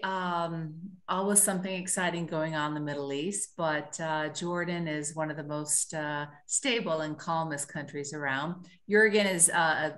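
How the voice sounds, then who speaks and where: A woman from California calls into an online meeting. Her voice sits a little low, and she chats casually with plenty of fillers over the call. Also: a bit bright. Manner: friendly, chatty with fillers. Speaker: guest caller. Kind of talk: casual chat. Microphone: video call.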